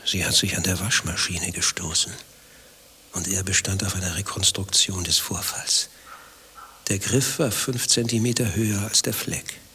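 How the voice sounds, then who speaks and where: A man's voice: deep voice, fairly even intonation, moderate pace, sharp tone, slight background noise. An actor playing an infatuated married man in a radio play, defensive and given to impassioned philosophizing. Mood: indignant, defensive. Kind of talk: German radio play dialogue acting.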